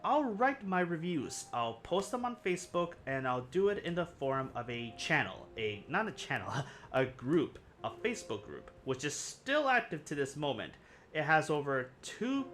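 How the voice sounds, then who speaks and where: A man's voice: on the high side, a bit bright. Earnest young man from Puerto Rico. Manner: earnest, rambling, self-correcting. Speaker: film review channel host. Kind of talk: personal storytime vlog. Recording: music playing underneath.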